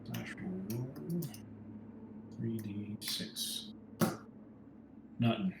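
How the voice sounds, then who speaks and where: A man's voice: lowish voice, steady tone, very slow, vocal fry, very noisy. A man playing a tabletop roleplaying game, casually speaking as his character and thinking aloud hesitantly. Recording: roomy echo, music underneath.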